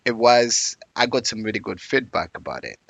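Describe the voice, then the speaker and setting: A man's voice: on the low side, expressive, normal speed, vocal fry, a bit bright, no room sound. A man, telling his life story as an interview guest in a reflective, earnest way. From Uganda.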